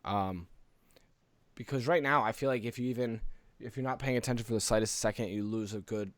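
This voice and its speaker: A man's voice: on the low side, brisk, no room sound. A man co-hosting a casual gaming podcast in an earnest, rambling way, close to the microphone. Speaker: podcast co-host.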